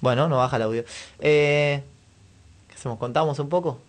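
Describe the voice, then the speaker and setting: Argentine guy hosting radio, casual political chat, ordinary mid pitch, conversational. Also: normal speed. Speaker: radio host.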